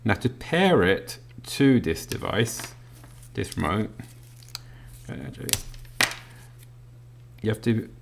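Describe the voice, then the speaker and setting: A man's voice: fairly low pitch, very animated, slow, creaky, pauses often, a little hiss or hum. A man walking through an unboxing, explaining a gadget casually with many ums and uhs while he handles it. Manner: demonstrating a gadget while talking. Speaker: product reviewer. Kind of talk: unboxing walkthrough.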